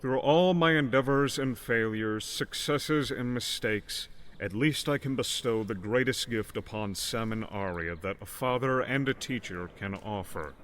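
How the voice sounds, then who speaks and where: A man's voice: medium pitch, a little slow, hardly any pauses, a bit bright, slight background noise, voice pushed up, close to an everyday voice. Man voicing a haunting, taunting male betrayer. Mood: reading aloud. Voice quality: haunting, piercing. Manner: taunting, accusatory. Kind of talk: audiobook character dialogue.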